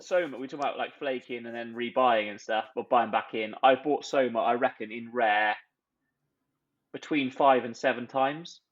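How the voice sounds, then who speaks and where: A man guesting on a podcast, talking in excitable, self-mocking bursts. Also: medium pitch, moderate pace, muffled recording. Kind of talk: casual chat.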